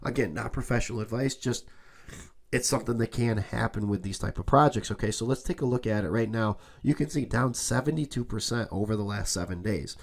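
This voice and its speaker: Man with a cold, slightly raspy. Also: on the low side, brisk. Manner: loose, repetitive, says "okay?" often. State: has a cold, a bit raspy. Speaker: crypto channel host. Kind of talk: casual screen-share commentary.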